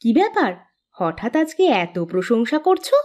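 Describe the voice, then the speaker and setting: A woman playing a sweet, obedient, anxious village wife in a cartoon, speaking in a gentle, expressive way. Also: fairly high pitch, normal speed. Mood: pleased, curious. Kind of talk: Bengali cartoon dialogue. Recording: music bed behind the voice.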